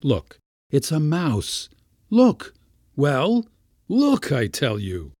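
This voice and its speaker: An irascible storybook schoolmaster, as acted out by a male narrator. He scolds and commands with pompous fury, his pitch jumping up and swinging widely. The recording is close and dry. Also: huge pitch swings, drawn-out words, darkish tone, no echo, exaggerated voice. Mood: exasperated. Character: irascible Latin schoolmaster uncle, pompous and furious.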